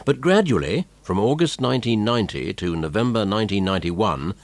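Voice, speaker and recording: Man, deepish voice, lively intonation, dry close sound, scripted news narration. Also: fairly quick, no room sound.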